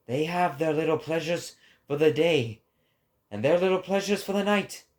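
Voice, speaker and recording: A man voicing a lofty, sorrowful prophet who preaches a grave warning in a deliberate, declamatory dramatic reading. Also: fairly high pitch, a little mellow, natural voice. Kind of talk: dramatic philosophical oration.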